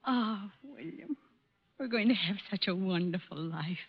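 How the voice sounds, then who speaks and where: A woman's voice: medium pitch, expressive, measured pace, slightly rough. An actress playing an adoring, trusting newlywed woman, gushing and earnest, in 1940s radio style. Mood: adoring, excited. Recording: muffled old-time radio recording.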